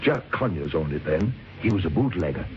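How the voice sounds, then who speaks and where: A man's voice: sits low, animated, a little fast. An actor playing a genial, familiar barman making chuckling small talk in an old radio drama. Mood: confiding, amused. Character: chatty, genial barman, ex-bootlegger's helper. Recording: muffled, noisy old radio recording.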